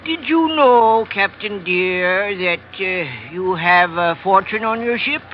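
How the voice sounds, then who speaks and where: An actor playing a wry, roguish Irish villain aboard ship, with a sly theatrical lilt, in old radio drama style. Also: male voice, high voice, animated, takes it slowly. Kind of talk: 1940s radio drama acting. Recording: dull, noisy old radio recording.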